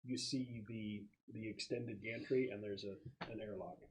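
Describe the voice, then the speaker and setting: A man's voice: fairly low pitch, fairly even intonation, moderate pace, darkish tone, slight background noise, low-quality recording. A man acting as game master, explaining the scene and rules casually with a joking touch. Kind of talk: casual tabletop game narration and banter.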